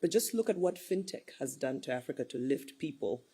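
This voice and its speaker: Husky, mellow-voiced woman panelist. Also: very deep voice, expressive, fairly quick. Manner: earnest, persuasive, lists examples. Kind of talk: panel discussion.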